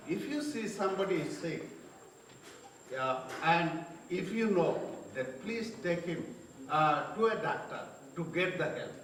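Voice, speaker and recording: A man addressing a community seminar, earnestly urging people to seek help. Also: a little high. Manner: earnest, imploring, with uneven phrasing. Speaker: seminar speaker. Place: large echoey hall. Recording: echoey hall, distant, faint noise.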